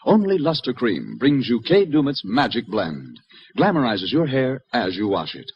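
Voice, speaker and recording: A man's voice: medium pitch, very animated, moderate pace, background noise. A man reading a 1940s radio commercial as announcer. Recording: muffled old radio with music.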